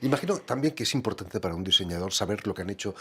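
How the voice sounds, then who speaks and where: A middle-aged man with a Spanish accent from Spain, interviewing a guest in a radio studio in a measured, polite way. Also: fairly low pitch, animated, bright, forceful tone, slight background noise. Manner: measured, polite, frequent tag questions.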